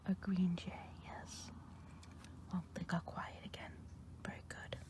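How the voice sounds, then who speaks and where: A woman's voice: fairly low pitch, level tone, a little slow, natural voice. A woman whispering as a kindly good witch. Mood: gentle. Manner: soft whispering, patient and encouraging. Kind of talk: ASMR roleplay.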